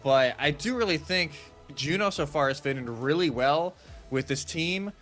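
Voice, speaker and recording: A male esports analyst with a high, bright voice that moves around with lots of animation. He explains in a casual, conversational way, as on a live desk, with music playing behind him. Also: lively intonation, normal speed. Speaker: esports commentator.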